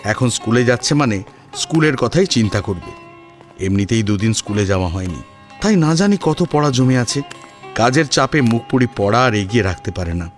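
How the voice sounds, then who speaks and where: A man's voice: medium pitch, expressive, normal speed, soft background music. A man narrating a scripted audio story in a dramatic storytelling style.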